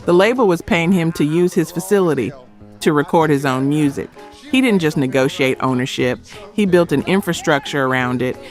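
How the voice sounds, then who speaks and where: A man delivering scripted documentary narration in emphatic short phrases with dramatic pauses. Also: a little high. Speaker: narrator of a music history video. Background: background music.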